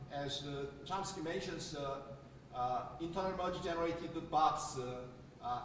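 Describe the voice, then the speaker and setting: A man with a Korean accent giving an academic conference talk, halting, with many filler sounds and restarts. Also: a little high, slight background noise. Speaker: conference speaker. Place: large conference hall. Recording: echoey hall recorded from far off.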